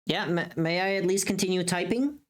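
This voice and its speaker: A woman's voice: fairly low pitch, a little fast. A woman livestream host chatting casually, rambling playfully and muttering to herself as she goes.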